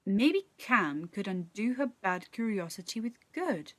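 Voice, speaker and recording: A woman's voice: mid-range voice, very animated, unhurried, fairly bright tone. A woman playing a curious, bold young girl in a children's story, earnest and questioning. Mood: hopeful.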